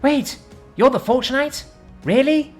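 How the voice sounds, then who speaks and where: An excitable young elf girl, voiced by a man. Her voice is squeaky and eager. It sits very high. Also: a little fast, soft background music, full character voice.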